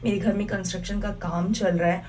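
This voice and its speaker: A young woman from Bihar talks chattily to her vlog viewers and slips English words into her speech. Her throat is a little sore, and her pitch sits in a middle range. Faint household sounds can be heard behind her. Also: steady tone, a little fast, a little mellow, a little hiss or hum. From Bihar, India. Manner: chatty, mixes English into Hindi, many fillers. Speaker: vlogger. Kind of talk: casual vlog chat to camera.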